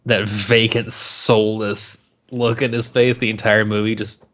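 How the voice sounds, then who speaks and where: A man's voice: lowish voice, little pitch movement, a little slow, a bit bright. A man co-hosting a podcast in casual, crude banter about movies, with plenty of swearing. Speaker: podcast co-host.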